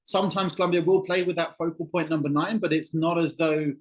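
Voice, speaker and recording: English-born football journalist, middle-aged, slightly high voice, easygoing podcast guest. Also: male voice, brisk. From England. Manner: relaxed, thoughtful, restarts phrases. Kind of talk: podcast interview answers. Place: Colombia, remote call.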